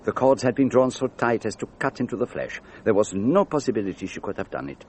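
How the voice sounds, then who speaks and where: Deep, swooping, fussy detective. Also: male voice, big pitch swings, fairly quick, slight background noise. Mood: explaining, deliberate. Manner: precise, theatrical, gently didactic. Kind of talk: radio drama acting.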